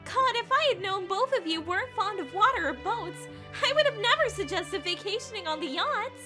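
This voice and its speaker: A woman's voice: extremely high pitch, lively intonation, moderate pace, over music. A woman voicing a sweet, concerned young female demon, earnest and apologetic, in a cartoon comic dub.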